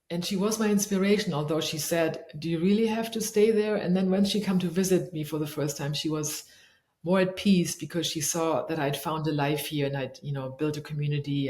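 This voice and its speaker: A middle-aged woman from Germany, a leadership coach, answering interview questions thoughtfully and pausing often to search for her words. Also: low-pitched, a little mellow. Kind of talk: reflective interview conversation. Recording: echoey room.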